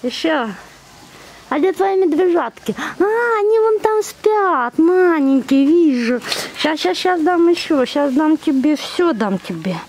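A woman's voice: high-pitched, animated, a little slow, darkish tone. A woman talking in affectionate, cooing baby talk full of repeated words and pet diminutives, as if to animals, recorded on a handheld camera outdoors. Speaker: zoo visitor filming and feeding bears. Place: outdoors at zoo bear enclosures. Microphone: handheld camera held by the speaker.

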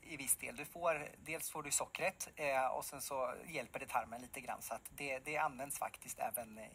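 A man in his thirties, a pediatric specialist nurse, explaining in a relaxed way with many fillers, interviewed in a TV studio on a lapel mic. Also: medium pitch, slight background noise. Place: bright TV studio.

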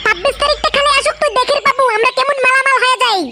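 A performer with a pitched-up voice filter plays a boastful, crude cartoon village lad. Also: racing, heavy background noise. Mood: irritated bragging. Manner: crude, bragging, swearing. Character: cartoon village lad, boastful, foul-mouthed coin-obsessed buddy. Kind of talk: comic cartoon banter.

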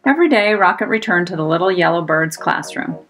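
A woman reading a children's storybook aloud with expressive, careful enunciation for young listeners. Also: lowish voice, fairly quick. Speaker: storybook reader. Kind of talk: scripted children's storybook narration. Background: music.

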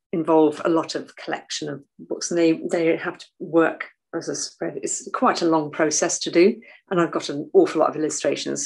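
A woman in her sixties with a soft voice, talking animatedly as a guest on a video call from a room at home. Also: deep voice, gravelly, rough recording. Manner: animated, waves arms while talking. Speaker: guest author and artist. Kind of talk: casual video call interview.

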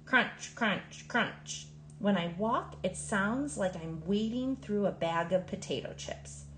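A woman reading a children's picture book aloud in an expressive, emphatic storytelling manner. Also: medium pitch, slow, roomy sound, slight background noise. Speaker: storybook reader.

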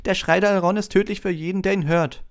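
Guy doing a know-it-all school girl from an audiobook, high pitched, reciting stuff super eagerly. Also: steady tone, fast, clean tone, close to an everyday voice. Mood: eager, precise. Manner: recites like a textbook. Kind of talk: audiobook character voice.

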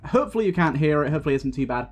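A man with a slightly raspy voice from a coming cold, chatting casually as he plays and comments on a video game, thinking aloud with plenty of fillers. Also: a little high, lively intonation, very fast. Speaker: gaming video host. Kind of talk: casual let's-play commentary. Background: faint game music.

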